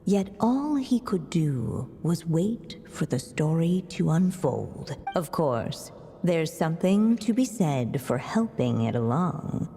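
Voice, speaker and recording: A woman narrating a fairy tale in a video game with a measured storyteller cadence. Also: sits low, very animated, dark tone, heavily acted. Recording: long hall reverb. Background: faint game music.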